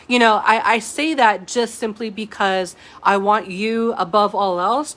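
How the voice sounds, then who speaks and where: A woman's voice: medium pitch, fairly bright tone. Chatty woman teaching a live class. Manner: casual and chatty, many fillers and asides to viewers. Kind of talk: live-stream teaching, reading chat comments.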